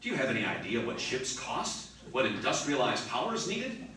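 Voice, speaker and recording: An actor playing a commanding, sceptical spaceship captain in a sci-fi radio drama, barking orders and stammering when baffled. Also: male voice, medium pitch, wildly swooping pitch, brisk, sharp tone. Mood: firm, commanding. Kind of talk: old-time sci-fi radio drama acting. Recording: roomy, distant, clearly noisy recording.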